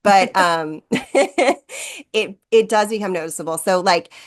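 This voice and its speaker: A woman's voice: mid-range voice, lively intonation, slow. A woman in her thirties co-hosting a podcast, telling stories in a chatty, self-deprecating way, full of "like" and "um". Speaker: podcast co-host and wedding photographer. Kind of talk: casual podcast chat.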